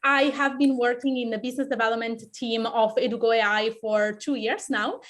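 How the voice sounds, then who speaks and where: Woman, italian accent, webinar host, video call, fairly high voice, welcoming. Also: steady tone, a bit bright. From Italy. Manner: friendly, welcoming, halting non-native phrasing. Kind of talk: hosting a live online webinar.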